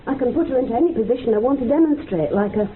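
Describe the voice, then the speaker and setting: A woman's voice: on the high side, animated, racing, natural voice. An actress playing a possessive, chatty mother in a radio drama, rambling on insistently and matter-of-factly. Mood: proud, matter-of-fact. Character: chatty, possessive mother showing off her mute daughter. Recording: noisy, phone-like old radio recording.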